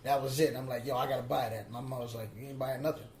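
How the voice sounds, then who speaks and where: A man's voice: medium pitch, fast talker, a crowd in the background. A man from the Bronx, telling a story as a DJ guest in an interview and acting out the quoted lines. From the Bronx, New York. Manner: lively storyteller who acts out quoted lines. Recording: echoey room.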